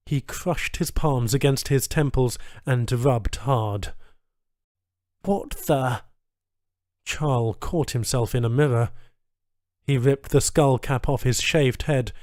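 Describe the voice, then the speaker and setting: A man narrating a science fiction story in a deliberate, suspenseful, dramatic reading style. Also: mid-range voice, a little mellow, no room sound, clean studio sound. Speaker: audiobook narrator.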